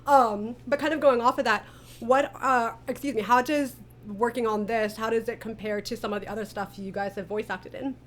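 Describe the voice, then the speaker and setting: A woman hosting a casual interview, speaking in an informal, halting way. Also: fairly high pitch, steady tone, fairly bright tone, slight background noise. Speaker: interviewer, audio drama director.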